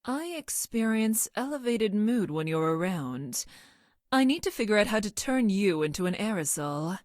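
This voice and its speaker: A woman playing a logical young woman scientist from the future in a video game, speaking in formal, clinical, precise deadpan. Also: mid-range voice, normal speed, dry recording, clean studio sound, close to an everyday voice. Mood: deadpan affection. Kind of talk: video game character voice lines.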